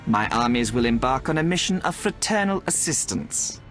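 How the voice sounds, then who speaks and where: Cocky young king, animated intonation. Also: mid-range voice, moderate pace, faint music behind. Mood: self-assured. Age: young adult. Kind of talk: video game acting.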